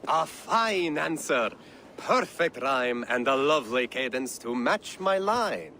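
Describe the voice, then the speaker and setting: A man's voice: medium pitch, big pitch swings, slow pace, pushed and bright, a little hiss or hum, full character voice. An actor voicing a playful older male poet and mentor, who speaks with theatrical, rhythmic delivery in a video game cutscene. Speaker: game character.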